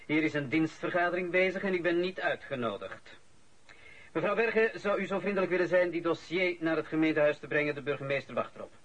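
An actor playing a stern, officious boss in a Dutch radio drama, formal, commanding and sarcastic. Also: male voice, a little high, quick pace, fairly bright tone, low-quality recording. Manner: formal, commanding, clipped politeness.

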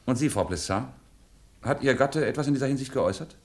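An actor playing a composed, courteous police inspector who questions suspects formally and insistently in a radio crime drama. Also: male voice, medium pitch, expressive, fast, natural voice. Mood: probing, insistent.

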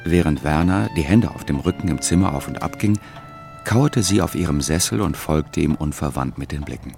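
An actor as the sombre storyteller of a radio play, narrating literary prose in a measured, gravelly voice. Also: male voice, a bass voice. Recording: music behind the voice.

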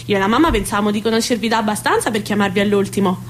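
An actress playing a flustered, scatterbrained young society daughter in a theatrical radio comedy, fretful and excitable. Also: female voice, mid-range voice, very fast, slight background noise, close to an everyday voice. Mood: polite, hopeful.

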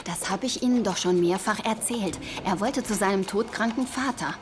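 A guarded female suspect played by an actress in a radio crime drama, evasive and defensive under questioning. Her voice sits at a medium pitch against clearly noisy ambience. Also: normal speed, natural voice.

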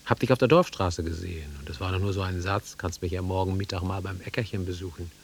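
A man's voice: sits low, fairly even intonation, fast talker, vocal fry, husky, full character voice. An actor playing an awkward, sentimental middle-aged man in a German radio drama, self-deprecating and swinging between murmurs and outbursts. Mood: tender, sheepish.

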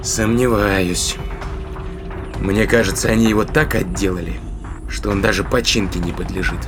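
A man's voice: deep voice, steady tone, normal speed, music in the background, natural voice. A man playing an inquisitive spaceship captain in a science fiction audio drama, commanding and thinking aloud. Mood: pensive, suspicious.

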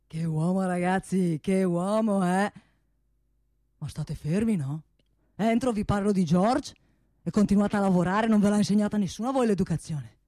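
Woman playing a mellow, blustering rich factory boss. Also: mid-range voice, moderate pace, no echo, clean studio sound, close to an everyday voice. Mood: indignant. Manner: theatrical, repetitive, bossy, breaking into muttering. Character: blustering wealthy male gun-factory boss, provincial, restless. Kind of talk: solo stage monologue for radio theatre.

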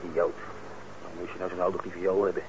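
A muffled, noisy old radio play recording carries an actor as a young working-class man. He is sardonic and down on his luck, grumbling and muttering in a natural, middling-pitched voice. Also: little pitch movement, quick pace, natural voice. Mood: muttering, frustrated. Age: young adult.

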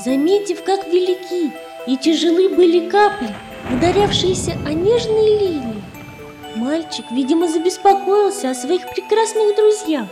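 A woman narrating a fairy tale for children in a measured, expressive storytelling manner. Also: extremely high pitch. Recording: roomy echo, faint music underneath. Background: faint music.

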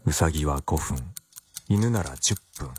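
An actor playing an earnest, coolly obsessive scientist, narrating experiments in a formal, methodical radio drama style. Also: male voice, a bass voice, lively intonation, unhurried, husky, exaggerated voice. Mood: earnest recounting. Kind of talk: scripted first-person narration, radio drama. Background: loud music.

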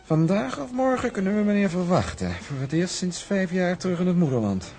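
An actor playing a confident shipping man in a drama, explaining in a measured, emphatic manner. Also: high voice, expressive, a little mellow, faint music behind. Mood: self-assured. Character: confident shipping man briefing a woman about a rival.

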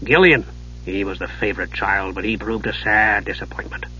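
A man voicing a gruff, weary old male dwarf, speaking low and hushed, in a dull lo-fi recording. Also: moderate pace, slight background noise. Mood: weary, brooding.

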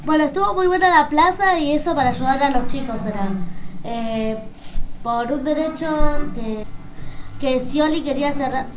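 A woman with an Argentine accent chatting casually on radio, hesitant and searching for words. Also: high-pitched. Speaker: radio co-host. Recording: roomy, noisy recording.

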